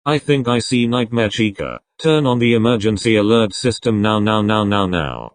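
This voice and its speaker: A man's voice: on the low side, moderate pace, dry recording. A man reading a scripted breaking news report as an announcer.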